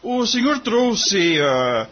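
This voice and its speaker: A man acting an earnest, lively young lawyer. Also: sits high, drawn-out words, muffled recording, full character voice. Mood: courteous, concerned. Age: young adult. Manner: polite, formal, deferential. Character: earnest, courteous young lawyer of high morals. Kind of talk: audio drama acting.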